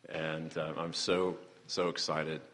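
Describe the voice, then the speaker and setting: A man, the host pastor, introducing a guest speaker with eager, halting enthusiasm. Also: a bass voice, level tone, fairly bright tone. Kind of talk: church introduction. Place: church sanctuary. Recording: long church reverb.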